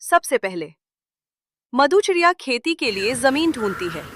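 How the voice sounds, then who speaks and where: Lively, fairly high female story narrator. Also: unhurried, a little hiss or hum. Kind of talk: scripted children's story narration.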